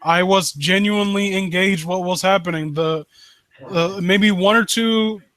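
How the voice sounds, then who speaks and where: A man with a fairly high, bright, forceful voice chats casually as a critique host. He is blunt and swears freely, and the sound is very dry. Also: fairly even intonation, unhurried, no room sound. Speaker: host of a live story critique show. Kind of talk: casual chat, off-the-cuff critique.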